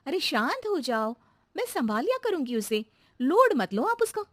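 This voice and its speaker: A woman's voice: high voice, big pitch swings, moderate pace, a bit bright, dry recording, studio quality, pitched above the performer's own voice. A woman voicing a practical housewife in a comedy cartoon, bantering expressively with those around her. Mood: reassuring, amused. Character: practical housewife, amused yet easily irked employer. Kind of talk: Hindi cartoon comedy acting.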